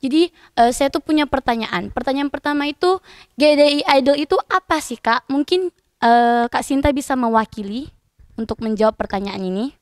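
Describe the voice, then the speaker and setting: A woman's voice: sits high, moderate pace, clear, resonant tone, no echo, clean studio sound. A woman from Indonesia hosting a student podcast interview, asking questions politely with frequent hesitant fillers. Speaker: podcast host.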